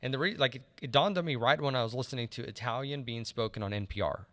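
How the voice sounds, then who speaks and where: Fast-talking man hosting a chat. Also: mid-range voice, a bit bright. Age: late 30s. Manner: rambling, frequent "right?" check-ins and restarts. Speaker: podcast host. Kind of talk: casual conversational interview.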